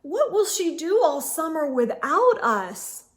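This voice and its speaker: A woman's voice: high voice, sing-song, takes it slowly, pitched above the performer's own voice, heavily acted. A woman voicing a sensitive, earnest first-grade boy in a children's storybook, with a wistful manner.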